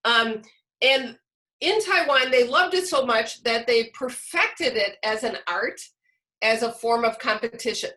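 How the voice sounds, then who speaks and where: Female instructor, bright tone, unhurried and halting, talking informally through a lesson. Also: a little high. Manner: halting, searching for words. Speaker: teacher leading a training session.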